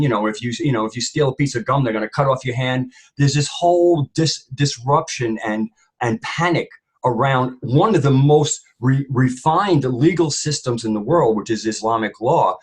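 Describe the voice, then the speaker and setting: A man's voice: medium pitch, breathy edge. A man speaking as a panelist in an opinionated discussion, rambling and emphatic, often repeating phrases for weight.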